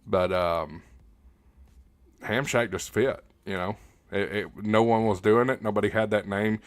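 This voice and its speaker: A man's voice: lowish voice, fairly even intonation, measured pace, dry recording. A man hosting a casual livestream, reading out viewer comments and answering in a conversational, rambling way as he thinks aloud. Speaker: livestream host.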